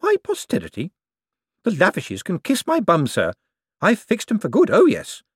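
A man voicing a sharp-tongued, defiant elderly woman in an audiobook. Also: high-pitched, wildly swooping pitch, normal speed, slightly rough, no room sound, clean studio sound, pitched above the performer's own voice, heavily acted. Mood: tart, defiant.

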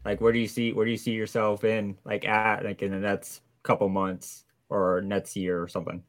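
A man's voice: lowish voice, level tone, normal speed. A man hosting a casual community Q&A, upbeat and chummy, calling guests buddy and man. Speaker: host.

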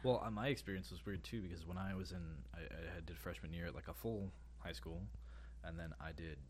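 A man's voice: low voice, fairly quick, a little hiss or hum. A man in his late twenties co-hosting a podcast, talking in a rambling way and often restarting his sentences. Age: late 20s. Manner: rambling, self-interrupting. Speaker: podcast co-host. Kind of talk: casual chat.

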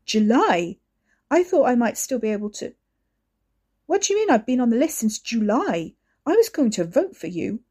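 A woman reading an audiobook, voicing a protective young man who shouts angry accusations. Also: medium pitch, very animated, moderate pace, few pauses, breathy edge. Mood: furious.

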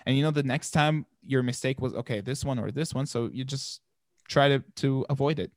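A young man from Palestine, in his twenties, talks through an easygoing interview, stumbling over and repeating his words and laughing between points. His voice sits at an ordinary middle pitch. The sound is close and dry, with no room around it. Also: fairly quick, dry recording. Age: 20s. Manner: many restarts and repeated words, laughs often. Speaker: guest. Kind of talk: casual interview chat.

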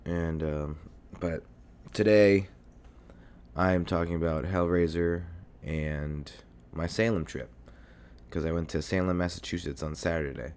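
A young man with a very deep voice, made hoarse by a cold, hosts his solo podcast. He rambles casually, swears freely and fills gaps with ums. He sounds chesty and congested, recorded on a desk mic at home. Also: fairly even intonation, measured pace, a little mellow. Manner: rambling, swears often, many fillers. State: sick, congested and coughing. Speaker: podcast host. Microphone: desk mic near a computer.

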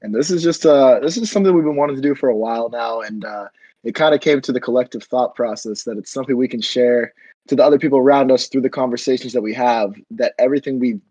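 A man's voice: mid-range voice, fast talker, mellow, no echo, low-quality recording. A man in his twenties hosting a casual podcast, talking loosely with many fillers. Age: 20s. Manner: rambling, filler-heavy. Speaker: podcast host. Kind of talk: casual chat.

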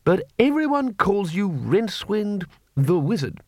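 A man voicing a bossy, hearty old wizard in charge, with a brisk, pompous way of questioning in an audiobook story. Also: high voice, sing-song, no room sound, clean studio sound, voice pushed up, heavily acted. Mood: probing. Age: older. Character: blustering, bossy old wizard arch-chancellor, hearty and commanding.

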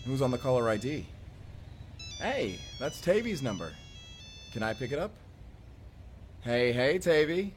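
A man playing an excitable, friendly companion in a science fiction audio roleplay, speaking casually and expressively. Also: mid-range voice. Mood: relieved, excited. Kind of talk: immersive first-person audio roleplay acting.

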